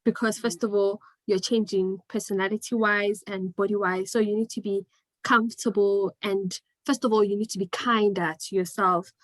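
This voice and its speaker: A 20-year-old university student, speaking earnestly as an interview guest. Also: female voice, mid-range voice, fairly even intonation, moderate pace, no room sound.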